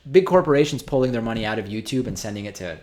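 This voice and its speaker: A man's voice: on the low side, expressive, racing, darkish tone. A man whose first language is Spanish, hosting a live stream and reading out viewer donations in a casual way, with frequent ums and restarts as he thinks aloud.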